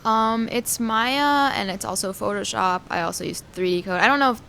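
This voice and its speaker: A young woman who grew up in the United States, answering interview questions as a game artist in a soft, hesitant way with many pauses. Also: fairly high pitch, creaky, a little hiss or hum. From Israel, raised in the United States.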